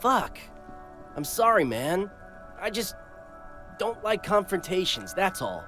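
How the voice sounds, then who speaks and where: An actor playing a sulky, timid young punk in a game who backs down from fights. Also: male voice, high-pitched, big pitch swings, takes it slowly, over music, heavily acted. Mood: sheepish.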